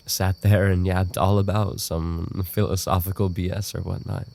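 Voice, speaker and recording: A man playing an obsessive, unstable yandere roommate in an audio roleplay, with an intimate, halting delivery. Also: dark tone. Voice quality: low, gravelly. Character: obsessive male yandere roommate, soft-spoken, darkly devoted, unstable. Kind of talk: boyfriend ASMR audio roleplay.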